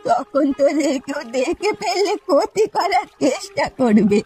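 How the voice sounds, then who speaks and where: A woman's voice: high-pitched, sing-song, a little slow, warm tone, soft background music. A woman voicing a blunt, knowing old village granny in a cartoon folk tale. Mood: sad, caring. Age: older.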